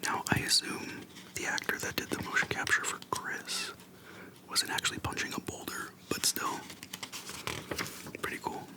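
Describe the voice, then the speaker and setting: An ASMR host speaking in a soft, breathy whisper close to the microphone, chatting casually in a relaxed, halting way. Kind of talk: casual rambling game retrospective.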